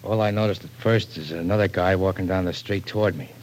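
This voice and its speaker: An actor playing a surly, sardonic American small-time crook in an old-time radio crime drama, talking in tough slang. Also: male voice, low voice, fairly even intonation, quick pace, close to an everyday voice. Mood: gruff, guarded. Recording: noisy vintage radio recording.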